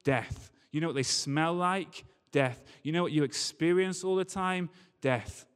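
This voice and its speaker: A man's voice: on the high side, unhurried, a bit bright, clean tone. A man preaching a sermon to a congregation in an emphatic manner, using rhetorical questions and repetition. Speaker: preacher, church leader. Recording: reverberant hall sound.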